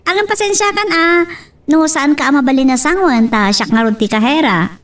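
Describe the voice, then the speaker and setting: A woman playing a young, easily startled woman in an audio love drama, with an Ilocano accent and expressive exclamations. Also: sits high, normal speed, distant mic. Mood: friendly, surprised. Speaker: voice actor.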